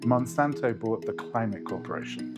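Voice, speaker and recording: A low-pitched man who grew up in the UK speaks as an interview guest. He talks thoughtfully and often restarts his sentences, with faint music underneath. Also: expressive, normal speed. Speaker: guest researcher. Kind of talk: conversational interview.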